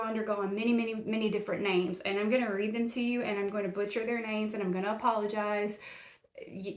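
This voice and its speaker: A woman's voice: mid-range voice, echoey room. A woman with a Middle Tennessee Southern accent hosting a true crime podcast in a folksy, rambling, self-deprecating way, full of fillers. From Tennessee, USA. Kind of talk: casual chatty podcast. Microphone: camera and mic.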